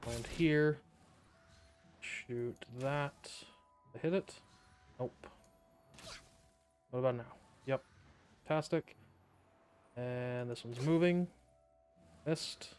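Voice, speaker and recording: A man streams a game with casual live commentary in a mid-pitched voice. His delivery is deadpan and sarcastic, muttered in short offhand reactions, with faint game music behind him. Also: very slow, long pauses.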